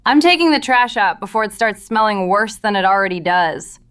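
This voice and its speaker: A woman's voice: mid-range voice, lively intonation, normal speed, a bit bright, smooth, recorded from a distance, natural voice. A woman playing a blunt, sardonic, foul-mouthed gas station clerk in an audio drama. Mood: jaded.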